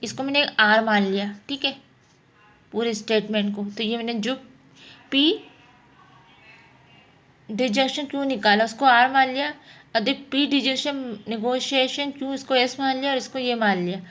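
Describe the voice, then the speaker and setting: Higher-voiced woman teaching step by step. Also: moderate pace, long pauses, a bit bright. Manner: explanatory, asks and answers own questions. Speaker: mathematics teacher. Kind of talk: informal spoken lesson, working through problems.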